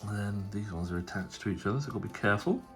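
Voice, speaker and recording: A man's voice: on the low side, lively intonation, racing, soft background music. A man with a cold and a nasal voice, hosting a casual tabletop wargaming unboxing. He rambles chattily, full of fillers and restarts. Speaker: tabletop wargaming channel host.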